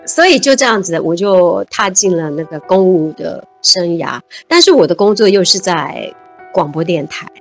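A woman's voice: on the low side, animated, normal speed, soft background music. A woman, talking reflectively as an interview guest and laughing easily. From Taiwan. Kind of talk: casual interview chat.

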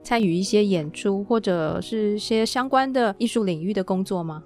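A woman hosts a casual interview in a medium-pitched voice. She follows attentively, putting short questions and humming acknowledgement. Faint music runs underneath. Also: normal speed. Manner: attentive, frequent soft acknowledging hums. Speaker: podcast host.

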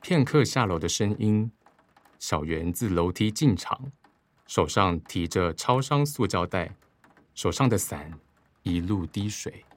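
A man narrating a radio drama from a script, measured and dramatic. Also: deep voice, expressive, dry recording. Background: music.

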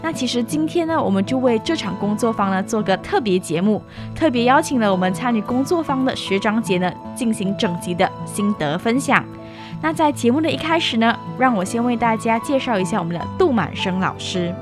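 A woman's voice: a little high, expressive, a little fast. A young woman hosting a student radio interview in a chatty way, readily acknowledging what is said. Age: young adult. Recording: music bed behind the voice. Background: background music.

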